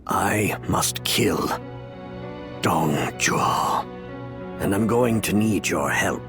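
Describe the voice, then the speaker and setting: An actor playing a grave, remorseful imperial official and adoptive father in a video game, with measured, formal delivery. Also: sits low, over music, full character voice. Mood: resolute, pleading. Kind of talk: video game cutscene acting.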